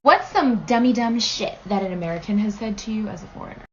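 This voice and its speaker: A woman host repeating a question prompt to camera in a flat, steady way. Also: mid-range voice, quick pace. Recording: dull lo-fi audio with faint noise.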